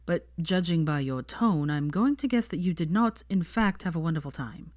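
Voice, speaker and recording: A woman voicing a mild, kindly, slightly awkward young man in an audiobook story, gentle and measured. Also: on the low side, animated, no room sound, clean studio sound, natural voice. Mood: hesitant, careful. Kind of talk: fan fiction audiobook character voice.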